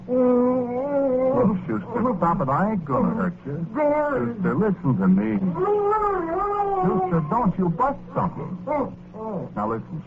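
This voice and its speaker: A man's voice: medium pitch, creaky. An actor with an American accent playing a well-meaning, exasperated working-class ghost who tells his story in slangy 1940s radio drama style. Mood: urgent pleading. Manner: colloquial, folksy slangy storytelling. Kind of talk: 1940s radio horror-comedy acting, first-person narration. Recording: scratchy, noisy, narrow-band old radio recording.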